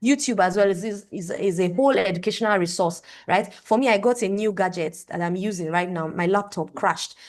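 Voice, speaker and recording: A woman's voice: medium pitch, fairly quick, few pauses. Young woman speaker on a call, emphatic, repetitive, checks in with the audience. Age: young adult. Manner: emphatic, repeats phrases, asks the audience "right?". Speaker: conference speaker. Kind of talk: motivational talk on a livestream. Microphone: video call. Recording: online call audio.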